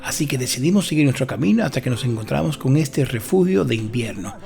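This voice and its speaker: A man with a Dominican accent narrates a travel vlog in a creaky, fry-edged voice. His phrasing is dramatic and sentimental, and light music plays behind him. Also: medium pitch, expressive, quick pace. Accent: Dominican Spanish. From Dominican Republic.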